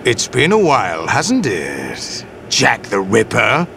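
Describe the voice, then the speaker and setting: A calculating warlord villain with a gravelly edge and a widely swinging pitch. He is deliberate and authoritative, every phrase staged like a game cutscene. There is clear noise behind the voice. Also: wildly swooping pitch, heavily acted. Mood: menacing nostalgia. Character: commanding older male villain, calculating former warlord. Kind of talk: video game cutscene acting.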